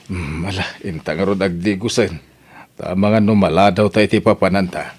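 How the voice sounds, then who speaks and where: A man playing a very deep-voiced elderly Ilocano father. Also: steady tone, moderate pace, music in the background, natural voice. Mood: earnest, tender. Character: elderly father, devoted and sentimental family man. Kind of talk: Ilocano audio drama acting.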